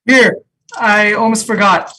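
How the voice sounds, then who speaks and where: A woman acting an easygoing, frank, teasing co-op employee in a comedy table read, with a deadpan, measured delivery. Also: medium pitch. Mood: frank, reassuring. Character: easygoing, frank, teasing new co-op hire Noah.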